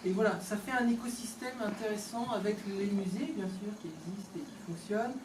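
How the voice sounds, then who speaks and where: A woman local official gives an informal opening speech in a conversational, halting, off-the-cuff way, recorded indoors from a distance. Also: medium pitch. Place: indoors, tourist office exhibition hall. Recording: echoey room, distant mic, background noise.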